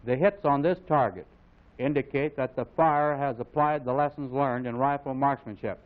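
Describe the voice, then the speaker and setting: American guy doing old army training film narration, formal n authoritative, medium pitch, super tinny phone-like old soundtrack. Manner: formal, authoritative, measured delivery. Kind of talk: scripted mid-century instructional film narration. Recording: phone-like vintage film soundtrack, narrow band.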